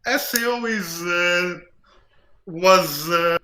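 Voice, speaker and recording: A man's voice: high voice, drawn-out words, crowd noise. A young man co-hosting a casual podcast, speaking hesitantly with many fillers. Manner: hesitant, filler-heavy, hedging. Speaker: podcast co-host. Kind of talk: casual group chat.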